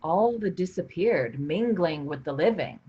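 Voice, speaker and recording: Husky, animated woman acting, dull recording. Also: fairly low pitch, a little slow, heavily acted. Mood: pensive. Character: earnest, puzzled invisible sibling, gently encouraging others. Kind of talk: staged play reading. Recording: dull lo-fi sound.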